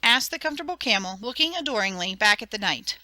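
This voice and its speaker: A woman reading a children's audiobook as narrator, in an expressive, dramatic and clearly enunciated storytelling style. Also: on the high side, quick pace, no room sound, clean studio sound.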